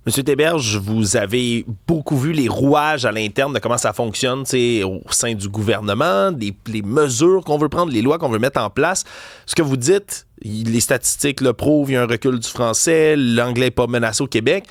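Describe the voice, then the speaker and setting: A man's voice: mid-range voice, moderate pace, no echo, studio quality. A man with a Québécois accent co-hosting a talk radio interview, building pointed questions. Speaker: radio co-host.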